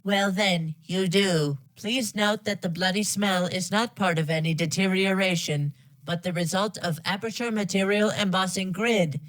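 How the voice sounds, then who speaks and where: A woman's voice: medium pitch, normal speed, no echo, low-quality recording. A woman voicing a polite female computer assistant with stilted, formal announcements and a synthetic text-to-speech sound, as in a game. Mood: formal, detached. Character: polite female computer assistant giving garbled test instructions.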